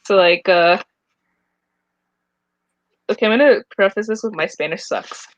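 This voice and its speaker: A young woman of twenty with a medium-pitched voice. She talks casually with her co-hosts over a headset on a video call, and the sound is dry and a bit dull. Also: a little slow, no echo. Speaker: co-host of a book live stream. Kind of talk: casual group chat. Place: indoor room at home, on a video call.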